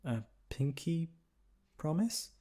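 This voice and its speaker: A man voicing a gentle, earnest, timid teenage prince in a bedtime story, speaking softly and hesitantly. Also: fairly high pitch, sing-song, takes it slowly, slightly rough, voice pushed up, exaggerated voice. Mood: hopeful, reassuring.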